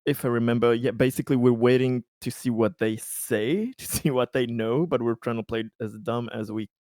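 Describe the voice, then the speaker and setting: A man's voice: medium pitch, normal speed, a little mellow, no room sound, clean studio sound. A man chatting casually as a player in a tabletop roleplaying game.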